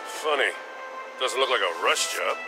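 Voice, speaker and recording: A man's voice: medium pitch, lively intonation, measured pace, lightly acted. An actor playing a weary middle-aged veteran policeman in a video game, plain-spoken and dry. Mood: inquisitive, businesslike. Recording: music underneath.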